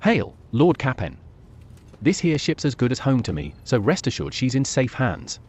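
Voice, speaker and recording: A man's voice: mid-range voice, sing-song, moderate pace, darkish tone, slightly rough. A man voicing a sullen, loyal helmsman with folksy speech in scripted game dialogue. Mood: loyal, resolute. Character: sullen, dour bearded male helmsman, fiercely loyal. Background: music.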